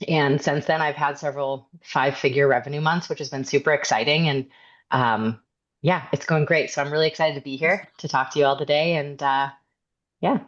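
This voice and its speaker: A woman in her thirties introduces herself in a friendly, eager manner, often pausing with "um." Her voice sits low for a woman, and the video call audio comes through dull and lo-fi. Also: steady tone. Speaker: guest brand designer. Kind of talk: casual self-introduction.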